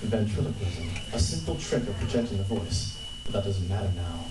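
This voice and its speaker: A man playing a mysterious, ominous crime fighter in a radio drama, speaking in a grandiose, deliberate way. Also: fairly low pitch, lively intonation, natural voice. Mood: commanding. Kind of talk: live comic reading of an old-time radio crime drama. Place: convention panel room. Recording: echoey room, distant mic, loud noise.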